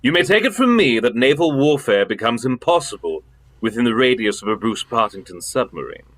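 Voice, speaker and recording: An actor playing a pompous, imperious elder-brother government official in a radio drama, with emphatic theatrical delivery. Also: male voice, mid-range voice, big pitch swings, brisk, fairly bright tone. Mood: grave, indignant.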